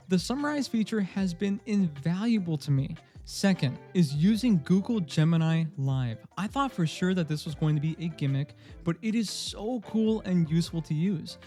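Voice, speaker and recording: High, mellow-voiced man reviewing tech. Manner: upbeat and emphatic, openly gushing. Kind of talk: scripted tech review voice-over.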